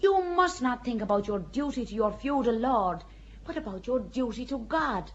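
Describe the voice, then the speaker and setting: A devout young peasant girl, Joan, plain-spoken and calmly certain of herself, as an actress voices her. Her voice sits fairly high, and she answers challenges with quiet defiance rather than raising it. The recording sounds dull and slightly lo-fi, like an older audio drama. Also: normal speed, slight background noise. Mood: earnest. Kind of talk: radio drama acting.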